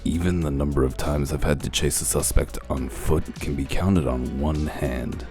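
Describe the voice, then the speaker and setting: A man playing a gruff, dry wolf detective who explains things in a deliberate, self-assured way in a comic dub. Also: rumbling low, fairly even intonation. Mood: wry, reflective. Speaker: detective character and first-person narrator. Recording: music under the voice. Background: background music.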